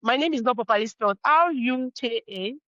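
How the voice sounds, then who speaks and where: A woman's voice: fairly high pitch, expressive, brisk, fairly bright tone, no echo. Nigerian woman, slightly dull dry recording. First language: Yoruba. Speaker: interview guest, fashion brand founder. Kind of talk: casual interview talk.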